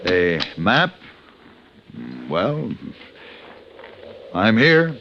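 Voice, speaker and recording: An actor playing a cool, guarded gunfighter in an old radio western, speaking in a measured, careful way. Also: male voice, on the low side, very animated, slight background noise, heavily acted. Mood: uneasy, cautious. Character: cool, guarded gunfighter bluffing among outlaws. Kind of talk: old-time radio western acting and narration. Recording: dull 1950s radio recording.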